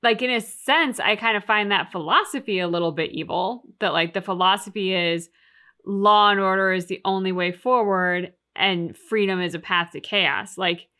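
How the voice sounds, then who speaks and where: Bright-voiced, opinionated woman discussion host. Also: medium pitch, normal speed. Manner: emphatic, opinionated, reasons aloud with rhetorical questions. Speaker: reaction show host. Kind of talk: casual analytical discussion.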